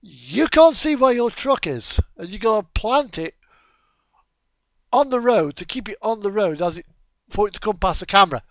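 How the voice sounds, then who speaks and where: A man's voice: sits high, big pitch swings, moderate pace, dry recording. A man chatting casually in a rambling, self-amused way as he talks through his video gaming. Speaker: video game streamer.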